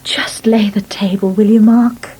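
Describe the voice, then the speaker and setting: An actress playing a brisk, practical and mildly sceptical farmer's wife in a British radio soap. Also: female voice, medium pitch, flat delivery, warm tone, breathy edge. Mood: dismissive, tired. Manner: brisk, no-nonsense, politely questioning. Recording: noisy old radio broadcast archive.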